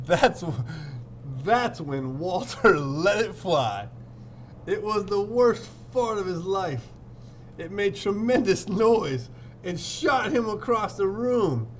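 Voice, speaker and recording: Guy teacher doing storybook read aloud, theatrical, repeats himself, highish voice that moves around a lot. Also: lively intonation, slow, pauses often, a little hiss or hum. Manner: playful, theatrical, repeats phrases. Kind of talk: storybook read-aloud with chatty asides to pupils.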